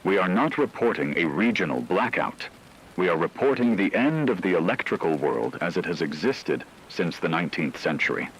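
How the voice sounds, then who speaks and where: A man's voice: deep voice, big pitch swings, moderate pace, slight background noise, low-quality recording. A man playing a grave technical correspondent on an emergency radio broadcast, precise and formal in a scripted news report. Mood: grave, measured.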